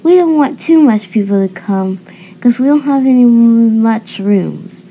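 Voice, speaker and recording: A woman's voice: fairly high pitch, lively intonation, unhurried, warm tone, slight background noise, low-quality recording. A woman narrating and voicing a homemade roleplay story as its creator.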